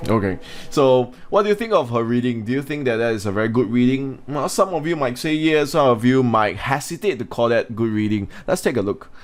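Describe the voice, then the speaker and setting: A man's voice: mid-range voice, animated, fairly quick, few pauses. Male English teacher, mid-range voice, narrating a lesson for students, instructional, asks questions. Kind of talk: scripted lesson narration with informal asides.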